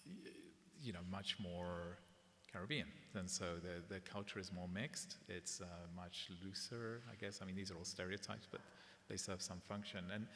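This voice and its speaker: Low-voiced curator guy chatting easy at a gallery talk, roomy hall, bit of hiss. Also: normal speed. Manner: relaxed, conversational, tag questions. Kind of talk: public gallery conversation. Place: gallery event space before an audience. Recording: echoey hall, faint hiss.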